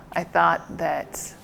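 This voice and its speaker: A woman's voice: on the low side, creaky, bright, forceful tone, slight background noise, rough recording. Thoughtful American woman missionary. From United States. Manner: thoughtful, halting, repeats words. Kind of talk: reflective interview.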